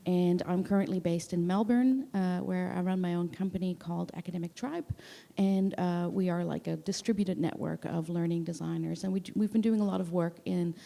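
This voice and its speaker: A woman's voice: fairly low pitch, creaky, mellow. A woman from the Netherlands, a learning designer introducing herself on a panel in a friendly, businesslike way. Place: conference hall, seated on a sofa. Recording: reverberant conference hall.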